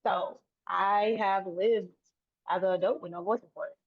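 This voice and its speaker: A woman's voice: medium pitch, fairly even intonation, unhurried, no room sound. Earnest woman over a tinny line. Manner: earnest, repeats and restarts phrases, faith-filled. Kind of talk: casual interview conversation. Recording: dry, tinny phone-like line.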